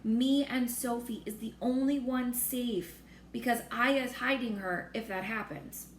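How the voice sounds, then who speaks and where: A woman voicing a calm, friendly male giant from a children's storybook, speaking in folksy, garbled grammar. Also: a little high, a little slow, pitched above the performer's own voice, lightly acted. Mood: warning, proud. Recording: roomy echo with faint noise.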